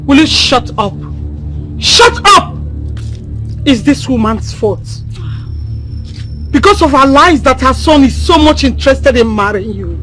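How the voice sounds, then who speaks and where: Her voice sits high, fretful, and scolding. She is a mother who berates her daughter, as an actress plays her, with faint music beneath. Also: animated, normal speed, fairly bright tone, poor audio. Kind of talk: melodrama acting.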